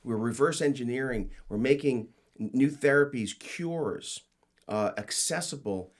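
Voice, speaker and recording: A man hosting a policy interview, enthusiastic and halting, with many restarts. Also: medium pitch. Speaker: host.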